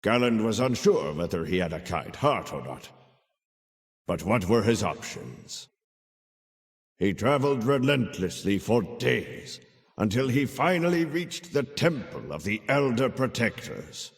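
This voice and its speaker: An actor playing a kindly older storyteller who tells a child a fairy tale in a theatrical, measured way for a video game. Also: male voice, lowish voice, sing-song, in a large hall. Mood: measured storytelling. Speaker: storyteller narrator. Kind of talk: dramatic fairy-tale narration.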